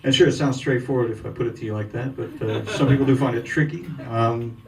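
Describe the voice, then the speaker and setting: A man doing stand-up in a slow, fumbling, self-mocking way. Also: medium pitch, slight background noise. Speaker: stand-up comedian. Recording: distant mic with loud music.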